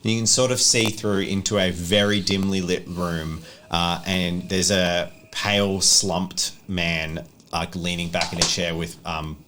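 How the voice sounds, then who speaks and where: Bright, low-voiced man as game master. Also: flat delivery, unhurried, hardly any pauses, slight background noise. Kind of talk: improvised tabletop game narration and chat.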